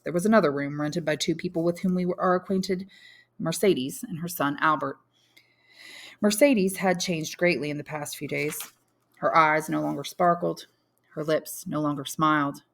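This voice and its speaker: A woman's voice: deep voice, gravelly, fairly bright tone. A woman reading a literary story aloud as scripted audiobook narration. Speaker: audiobook narrator, English teacher.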